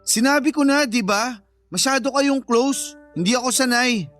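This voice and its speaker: A sulky, jealous young Filipino father in a radio drama. He sounds whiny and accusing, with soft music behind him. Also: very high voice, moderate pace.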